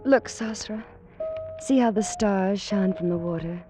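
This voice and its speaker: A woman's voice: medium pitch, a little slow, music in the background, lightly acted. A woman acting a passionate young noblewoman of ancient Egypt in an old-time radio romance, with formal, theatrical delivery. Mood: tender, wistful.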